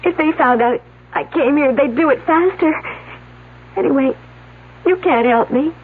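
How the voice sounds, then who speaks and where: An actress playing a frightened young female student in a radio drama, timid, anxious and halting. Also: high-pitched. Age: young adult. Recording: thin telephone-like old radio broadcast.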